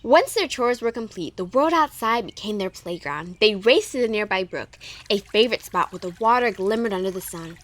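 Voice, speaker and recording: A woman narrates a scripted story for children. Her voice sits at an average pitch for a woman. She reads as the storyteller of an audio drama. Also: lively intonation, normal speed, few pauses.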